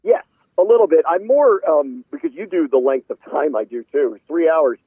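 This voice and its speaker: A man's voice: fairly high pitch, lively intonation, moderate pace, slightly rough, no room sound. A man speaking as a guest sports announcer in a casual radio interview, over a thin phone-like line.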